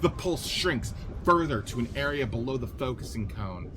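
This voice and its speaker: A man's voice: mid-range voice, lively intonation, moderate pace, noisy background. A man narrating the action of a scripted radio play in a dramatic manner. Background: music.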